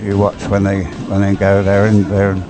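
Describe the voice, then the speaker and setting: A man giving unscripted live race commentary, explaining as he goes in a hesitant, halting way and repeating words. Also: lowish voice, completely flat delivery, music in the background. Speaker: sports commentator.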